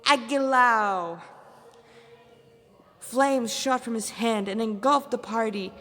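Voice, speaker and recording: A woman's voice: fairly high pitch, measured pace. A woman reads a story aloud as its narrator. Her phrasing is dramatic, with occasional stumbles over words. The recording carries long, echoey reverb. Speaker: fan fiction author and reader.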